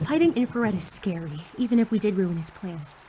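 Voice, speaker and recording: A woman's voice: medium pitch, a little fast, noisy background, close to an everyday voice. A woman playing a cocky, earnest teenage rookie superhero who acts and narrates in an audio drama. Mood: earnest narrating. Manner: excitable, sarcastic, earnest in narration. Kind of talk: audio drama acting and first-person narration.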